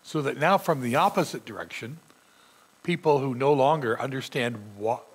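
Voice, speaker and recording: A man's voice: mid-range voice, normal speed, breathy edge. A middle-aged male pastor with a sore throat, preaching earnestly from a church pulpit. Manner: earnest preaching, reading scripture aloud with emphasis. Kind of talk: sermon. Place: church sanctuary.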